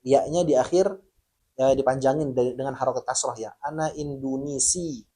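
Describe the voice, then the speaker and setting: A man teaching an online language lesson in a friendly, patient way. He explains each point and slows down to spell out the example phrases. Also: mid-range voice, dark tone. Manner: addresses viewers as friends.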